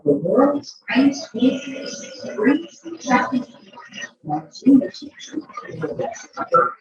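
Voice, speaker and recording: A woman reading a picture book aloud to a child, expressive, drawing out words and adding chatty asides. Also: sits high, slow, very echoey, distant mic, lo-fi sound.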